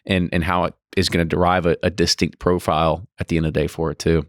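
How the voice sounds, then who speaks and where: A man's voice: deep voice, little pitch movement, quick pace, no room sound, clean studio sound. A man hosting a podcast interview, talking casually and rambling, often restarting his phrases. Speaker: podcast host.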